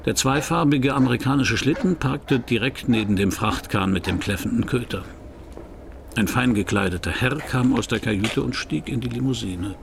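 An actor playing a wry, world-weary private detective who narrates a crime radio play in a dry, laconic, gravelly voice. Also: male voice, medium pitch, normal speed, noisy background, natural voice. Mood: wry narration.

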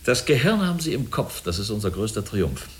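An actor in a German radio drama playing a self-assured company representative who explains things smoothly. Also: male voice, fairly low pitch, big pitch swings, fairly quick. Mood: assured, explanatory. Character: assured male company representative selling humanlike androids.

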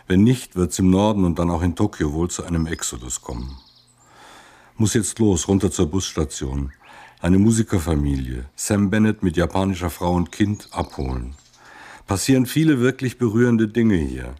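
A deep, husky male voice plays a busy, concerned helper acted by a man. He delivers a personal message in clipped phrases, as part of a radio play. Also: expressive, moderate pace, dark tone. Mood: concerned, matter-of-fact. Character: busy, concerned helper taking in refugee families.

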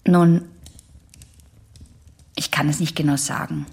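An actress in a German radio drama playing a guarded, defiant eighteen-year-old patient, terse and skeptical. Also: female voice, low voice, little pitch movement, slow, creaky, a little mellow, slightly rough, full character voice.

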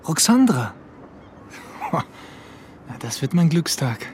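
An actor playing a pedantic, cocky young police trainee in a German radio play, with background ambience. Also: male voice, on the high side, big pitch swings, slow, background noise. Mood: dry, eager. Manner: pedantic, lecturing.

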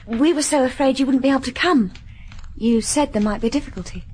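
A woman's voice: high-pitched, quick pace, a little mellow, a little hiss or hum, natural voice. An actress playing an eager, sheltered young daughter from South Africa in a BBC radio drama, girlish and breathless. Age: young adult.

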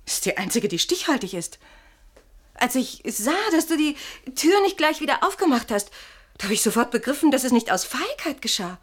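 Sharp-witted woman in a radio play, very lively intonation, pushy, persuasive, a bit ironic. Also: sits high, normal speed. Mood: insistent, knowing. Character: shrewd, insistent woman pressing and goading her male companion. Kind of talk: German radio play acting.

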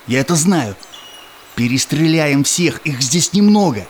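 Cynical, menacing Cossack villain, verse-speaking audio drama role, lively intonation, slight background noise. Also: male voice, a little high, unhurried. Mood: sly, scornful. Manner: threatening, scheming, speaks in rhymed verse. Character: greedy, menacing Cossack tax collector, cynical bully. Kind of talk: theatrical audio drama acting.

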